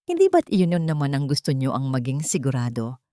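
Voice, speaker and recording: A woman's voice: very deep voice, wildly swooping pitch, a little fast, dark tone, dry recording, clean studio sound, pitched below the performer's own voice, heavily acted. A woman voicing a betrayed, coldly composed wife in an audio story, polite and controlled, with a Filipino accent. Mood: cool composure.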